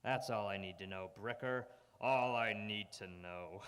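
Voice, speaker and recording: A man acting a grim, relentless crime fighter in a live radio drama, speaking in an ominous, deliberate way. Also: fairly low pitch, fairly bright tone, smooth. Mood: menacing accusation.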